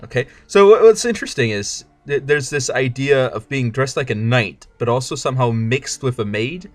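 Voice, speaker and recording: A man's voice: mid-range voice, animated, moderate pace, no room sound, soft background music. A man streaming a card game with casual, rambling commentary, joking around and stumbling over his words as he restarts sentences. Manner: rambling, jokey, stammers and restarts.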